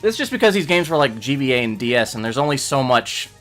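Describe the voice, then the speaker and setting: Man, mid-pitched, highly animated intonation, casual stream host, jokey, faint music behind. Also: quick pace. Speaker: host and project director. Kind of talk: casual livestream commentary.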